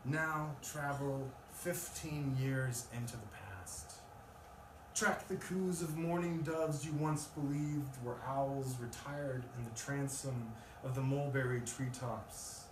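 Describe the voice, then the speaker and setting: A male poet speaks at a live reading in a room. His voice is picked up from a distance with plenty of echo. There is clear, loud noise over the recording. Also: mid-range voice, slow pace. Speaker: poet reading own work. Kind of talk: live poetry reading with casual introduction. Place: live event in a room with an audience.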